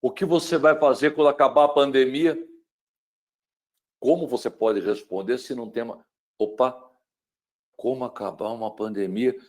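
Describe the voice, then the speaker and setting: Middle-aged Brazilian male lecturer; dramatic webinar delivery with rhetorical questions and sharp shifts between loud and hushed. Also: mid-range voice, steady tone, normal speed, pauses often. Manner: asks the listener rhetorical questions. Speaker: speaker on mental wellbeing.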